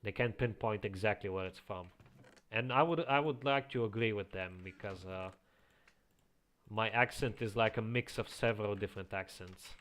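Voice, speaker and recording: A man with a slight Eastern European accent, chatting casually as a streamer, reading chat aloud and chuckling often into a quiet home microphone. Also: fairly low pitch, moderate pace. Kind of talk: casual live-stream chat while playing.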